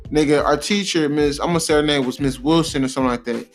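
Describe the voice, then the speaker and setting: A man's voice: on the high side, quick pace, faint music behind. A young American man in casual, slangy, profane podcast storytelling, repeating himself for emphasis. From Cleveland, United States. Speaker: podcast co-host.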